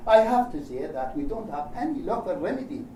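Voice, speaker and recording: A man with a high, mellow voice speaks in Iraqi-accented, non-native English. He delivers a formal prepared speech in deliberate short chunks. The sound is roomy and echoing, picked up at some distance from the mic. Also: sing-song. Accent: Iraqi Arabic. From Iraq. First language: Arabic. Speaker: human rights organisation director and panel speaker. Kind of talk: formal speech read from notes. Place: indoor event room at a panel discussion. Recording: roomy echo, distant mic, faint noise.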